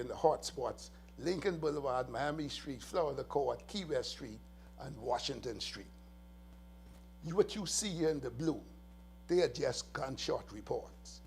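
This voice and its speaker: Bahamian male police official, mid-pitched gravelly voice, earnest and emphatic briefing, faint mains hum under it. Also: a little mellow. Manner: earnest, emphatic, walks through slides with pauses. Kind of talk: press briefing presentation. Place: press conference room.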